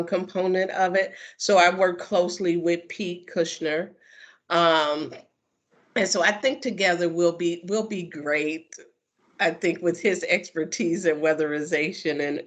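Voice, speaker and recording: A woman training coordinator introducing herself on a video call, speaking slowly and deliberately. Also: sits low. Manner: slow and deliberate, occasional laughs.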